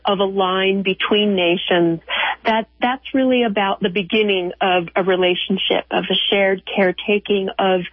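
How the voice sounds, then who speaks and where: Female interview guest, mid-pitched, heard through a narrow, dry phone connection, explaining thoughtfully and haltingly. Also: no echo. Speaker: museum chief curator, interview guest. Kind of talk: unscripted explanatory interview answers. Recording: narrow tinny phone line.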